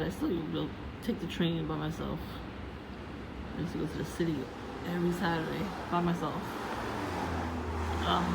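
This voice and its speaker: A woman vlogging casually about her day in a low voice, meandering with many fillers and restarts. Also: vocal fry. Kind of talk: casual rambling vlog. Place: outdoors, windy. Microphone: phone. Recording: noisy phone recording.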